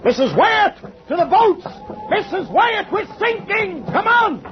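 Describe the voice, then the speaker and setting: An exasperated, alarmed passenger. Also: male voice, very high voice, big pitch swings, measured pace, soft background music, heavily acted. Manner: exasperated, commanding, shouting over storm. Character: alarmed male ship passenger, reluctant helper. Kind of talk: 1940s radio acting. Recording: muffled noisy old radio recording.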